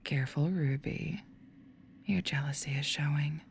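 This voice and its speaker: Mocking female demon acted by a woman, whispery and low, audio drama, noisy recording. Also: steady tone, unhurried, creaky. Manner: mocking, taunting. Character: taunting demon possessing a young woman.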